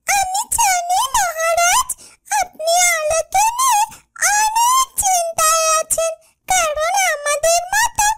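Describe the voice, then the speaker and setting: A woman voicing a small, loyal talking bird in a fairytale cartoon, pleading earnestly and respectfully. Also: very high voice. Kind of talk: dubbed cartoon fairytale acting. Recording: loud music behind the voice. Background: music.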